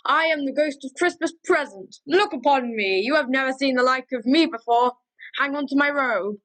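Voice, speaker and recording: Jovial giant spirit, female performer: high voice, grand and commanding, declamatory radio play delivery. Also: moderate pace, a bit bright, low-quality recording.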